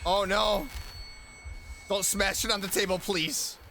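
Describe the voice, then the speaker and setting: A man's voice: high-pitched, fairly even intonation, slow, sharp tone, slight background noise. A man giving casual reaction commentary as he streams a game, exclamatory and joking. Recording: faint game music behind.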